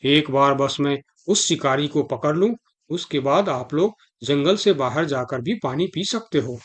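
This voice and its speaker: A man's voice: on the high side, lively intonation, a little slow, husky. A man voicing an authoritative, reassuring lion king in a Hindi children's cartoon, formal and commanding.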